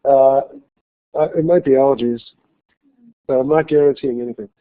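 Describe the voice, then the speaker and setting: A male college instructor giving a classroom lecture with a slight cold, talking haltingly with many fillers and restarts. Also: medium pitch, steady tone. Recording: tinny phone-like lecture recording.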